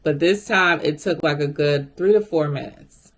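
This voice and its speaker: A woman with a deep voice telling a story to her viewers in a casual, animated way. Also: moderate pace. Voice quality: deep, gravelly voice. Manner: chatty and animated, addresses viewers directly. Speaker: vlogger.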